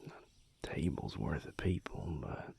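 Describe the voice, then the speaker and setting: A very low, slow male vampire lover, acted by a man in an intimate audio roleplay. He murmurs in a breathy, gravelly Southern drawl that rises and falls freely. His manner is laid-back, tender and teasing. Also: animated, darkish tone, in a whisper, exaggerated voice. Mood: relaxed gossipy. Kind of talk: intimate audio roleplay, whispered pillow talk.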